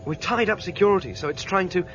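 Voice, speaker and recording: High-voiced, urgent rebel. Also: male voice, quick pace, fairly bright tone, slight background noise. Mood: tense reasoning. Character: urgent, defiant male rebel on the run. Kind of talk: 1970s sci-fi radio drama acting. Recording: dull lo-fi radio recording, faint music.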